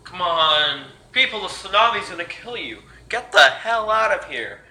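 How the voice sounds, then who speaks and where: A man acts out an exasperated, panicky male news reporter in an amateur disaster drama. The voice is high and very bright, with agitated, grumbling exclamations. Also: very animated, moderate pace, exaggerated voice, poor audio. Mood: panicked.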